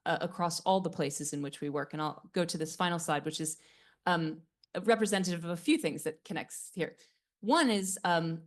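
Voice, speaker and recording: A woman presenting her organisation's work at a seminar panel, speaking earnestly and with emphasis, with occasional fillers. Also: lowish voice. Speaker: leader of a craft heritage charity.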